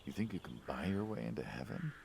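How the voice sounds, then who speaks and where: A man's voice: extremely low pitch, sing-song, fast talker, vocal fry, a little mellow, slight background noise, full character voice. An actor playing a mob hitman at odds with his old friend, insistent, emotive and colloquial, in a video game. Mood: pleading, frustrated. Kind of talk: naturalistic video game acting.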